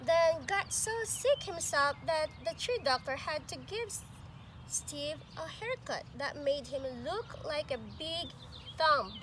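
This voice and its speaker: A woman reading a picture book aloud expressively like a pre-K teacher, pausing to address and question young listeners. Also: sits high, animated, fairly bright tone. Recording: clearly noisy amateur recording.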